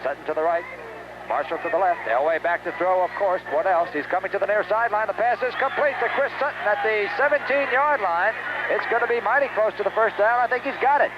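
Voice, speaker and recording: A man's voice: high voice, quick pace. An American man calling live football play-by-play on the radio, in short clipped phrases that follow the action. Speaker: play-by-play sports announcer. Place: outdoors at a stadium. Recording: dull, noisy 1970s radio recording.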